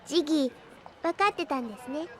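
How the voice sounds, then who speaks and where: Very high, gentle little android girl. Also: fast talker. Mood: curious. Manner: polite, soft-spoken. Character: gentle, polite young android girl, innocent and wide-eyed. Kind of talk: Japanese anime audio drama acting. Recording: busy crowd behind the voice.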